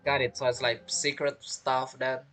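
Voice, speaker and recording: A woman giving casual commentary as she plays a game, halting and thinking aloud as she goes. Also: a bass voice, little pitch movement, a bit bright, soft background music. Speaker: game streamer.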